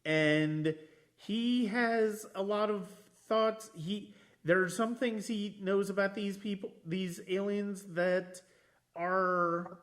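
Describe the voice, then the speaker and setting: A man hosting a podcast, talking casually about films in a reflective, halting way with frequent restarts. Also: high voice, fairly even intonation, fairly bright tone. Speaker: podcast host. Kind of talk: casual film discussion.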